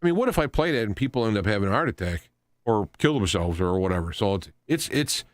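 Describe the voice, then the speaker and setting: A man hosting a radio talk show, giving an unscripted commentary in a halting, rambling way, often repeating and restarting his phrases. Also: lowish voice, expressive, dry recording, studio quality. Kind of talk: unscripted news commentary monologue.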